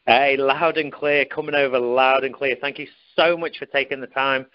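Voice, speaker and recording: A man's voice: mid-range voice, steady tone, normal speed, dry recording. A man hosting a testimonial call, friendly and encouraging as he hands over to a caller. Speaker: call host. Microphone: conference call line. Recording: dull lo-fi call audio.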